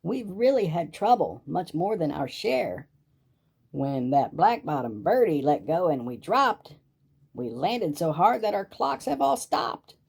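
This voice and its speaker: A man's voice: fairly high pitch, sing-song, unhurried, gravelly, breathy edge, pitched above the performer's own voice, exaggerated voice. A man voicing a tiny storybook mayor who complains fretfully and pleads for help. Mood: fretful, pleading. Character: tiny, plaintive mayor of a speck-sized town.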